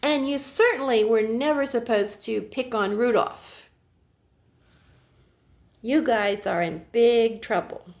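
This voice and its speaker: A woman voicing Santa Claus as a stern old man scolding naughty elves in a slow, emphatic way. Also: on the high side.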